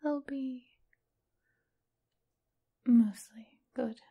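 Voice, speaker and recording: A woman playing a teasing, doting, seductive vampire mistress in a whispered, breathy ASMR roleplay. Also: sits high, steady tone, narrow, tinny audio, exaggerated voice. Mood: playful, seductive. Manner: slow, teasing, affectionate purr.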